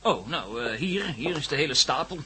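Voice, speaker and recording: A man's voice: mid-range voice, expressive, normal speed, heavy background noise, natural voice. An actor playing a brash, impatient young man in a radio play, blunt and informal. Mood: flustered. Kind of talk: Dutch radio play acting.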